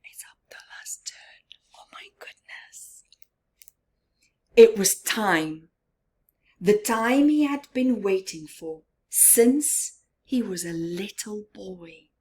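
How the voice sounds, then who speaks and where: A woman storyteller reading a picture book to children expressively, with dramatic emphasis, drawn-out words and hushed, chatty asides. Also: medium pitch, expressive.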